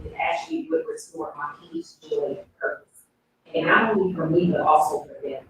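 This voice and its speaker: Creaky, animated woman in echoey hall. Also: medium pitch. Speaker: panelist. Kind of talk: earnest testimonial answer at a live event. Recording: very echoey room, distant mic, clear noise.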